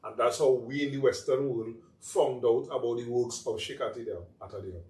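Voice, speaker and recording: A man's voice: mid-range voice, brisk, mellow. A man with a Trinidadian accent chatting as a talk show guest, telling stories animatedly in Creole phrasing. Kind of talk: casual chat. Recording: echoey room, mic at a distance, faint noise.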